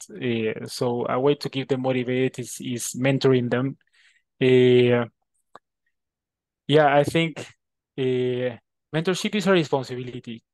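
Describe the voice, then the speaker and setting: Peruvian man, halting conversational delivery. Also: mid-range voice, darkish tone, no echo, rough recording. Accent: Peruvian Spanish. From Peru. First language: Spanish. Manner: halting, often repeats words. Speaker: interview guest. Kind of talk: conversational interview answers.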